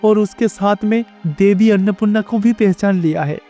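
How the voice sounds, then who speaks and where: A man's voice: high voice, moderate pace, mellow, soft background music, natural voice. A man playing a devoted, earnest bull attendant of the gods in a storybook tale. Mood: pleading. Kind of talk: storybook character dialogue.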